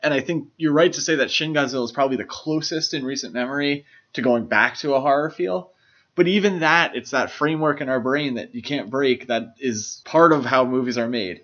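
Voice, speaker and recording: A man's voice: medium pitch, a little fast, fairly bright tone, dull audio. A man co-hosting a podcast, talking casually about films. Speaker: podcast co-host. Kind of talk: casual film discussion.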